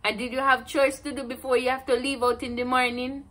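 A woman's voice: high-pitched, little pitch movement, fairly quick, bright, forceful tone. A woman in her twenties with a Jamaican accent, asking questions in a casual interview. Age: 20s. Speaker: interviewer, granddaughter. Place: indoors, plain room.

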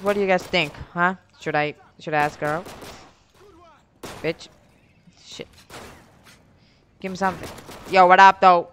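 A man's voice: high voice, fairly even intonation, measured pace, long pauses, fairly bright tone. Slangy, swearing young man over noisy background. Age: young adult. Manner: slangy, heavy swearing, rambling. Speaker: gaming video creator telling stories over gameplay. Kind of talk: casual storytelling mixed with game commentary.

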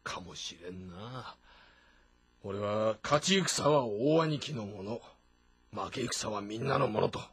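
A man playing a rough, loyal middle-aged warrior general with a husky voice and blunt, informal speech in a historical drama. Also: on the low side, lively intonation, takes it slowly, a crowd in the background. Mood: uneasy, earnest.

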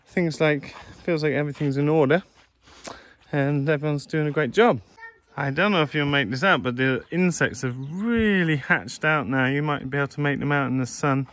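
A man giving a relaxed, chatty tour of his farm, filmed on a handheld phone outdoors and in sheds. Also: on the high side. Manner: relaxed, gentle, chatty with a child. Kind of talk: casual walking farm tour vlog. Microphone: handheld phone camera.

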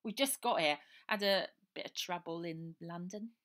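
A kind, steady young girl from a storybook, as a woman reads her speaks gently and in a friendly way. She sounds sensible and calm. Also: on the low side, normal speed, natural voice.

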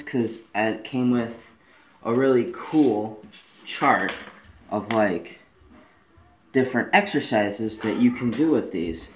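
A young man talks casually into a camera held at arm's length in his bedroom, his voice sitting at an ordinary middle pitch. He rambles in an easygoing way, padding his sentences with fillers as he goes. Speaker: vlogger. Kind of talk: casual vlog talk to camera. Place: bedroom at home, indoors. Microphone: handheld camera at arm's length.

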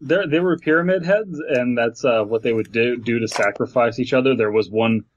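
A man's voice: mid-range voice, moderate pace, slight background noise. A man co-hosting a podcast with crude, joking casual banter as he reads and reacts to a story. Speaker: podcast co-host. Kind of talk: casual comic banter while reading a horror story. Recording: dull lo-fi dry podcast audio.

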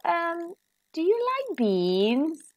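A woman's voice: extremely high pitch, wildly swooping pitch, drawn-out words, no room sound, lo-fi sound, pitched above the performer's own voice, full character voice. A woman voicing a polite, timid, earnest young boy in a children's storybook. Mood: earnest, curious.

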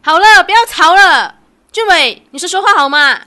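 A woman's voice: very high-pitched, animated, normal speed, sharp tone, full character voice. A young woman acting in a radio drama as a sweet, devoted girlfriend who is easily wounded. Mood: upset, pleading. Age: young adult.

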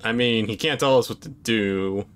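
A man's voice: on the low side, normal speed, a bit bright, smooth. A man giving casual, foul-mouthed gameplay commentary among friends. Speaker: gaming video host.

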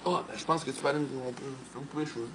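A man's voice: medium pitch, rapid-fire. Quebec guy in his 30s joking around, slangy, lapel mic, lotsa noise in the room. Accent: Quebec French. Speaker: reality show contestant. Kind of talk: casual chat. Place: house living room, indoors. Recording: noisy hidden-camera room audio.

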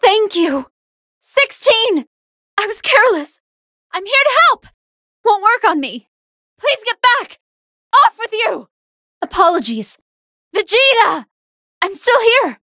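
A woman's voice: very high-pitched, very animated, slow pace, pauses often, sharp tone, dry recording, clean studio sound, exaggerated voice. A woman playing a good-hearted female android fighter in an anime fighting game, earnest and polite, with emphatic battle lines. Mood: earnest, alert.

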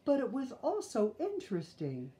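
A woman voicing a gentle mother bear in a storybook, soothing and patiently explaining. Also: on the high side, huge pitch swings, moderate pace, voice pushed up. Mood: reassuring.

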